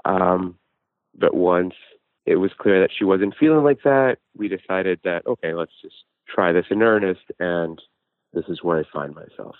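Low-voiced man on a muffled phone line. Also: normal speed, dry recording. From New Jersey. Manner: hesitant, profane. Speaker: phone-in caller. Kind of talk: casual chat, recounting own troubles. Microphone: phone call.

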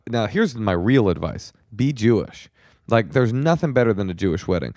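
A man with a fairly low voice hosts a comedic talk show. He banters bluntly and swears freely, delivering opinions with brash confidence. The sound is dry and close. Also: big pitch swings, moderate pace, a little mellow, dry recording. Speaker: comedy advice podcast host.

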